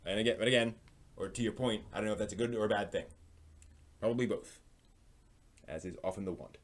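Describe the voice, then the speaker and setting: A man with a fairly low voice presents news as the host of a live stream. His delivery is informal and a little halting, with phrases that tend to trail off as he comments on each item.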